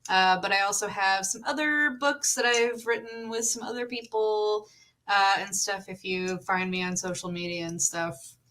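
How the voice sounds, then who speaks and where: A woman's voice: medium pitch, fairly even intonation, normal speed, pushed and bright. A woman author casually introducing herself as a panel guest.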